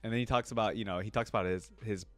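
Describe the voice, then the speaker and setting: A man's voice: lowish voice, steady tone, racing, fairly bright tone, no room sound, faint music behind. A man giving casual, amused commentary as the host of a reaction video. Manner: amused, repeats lines he likes.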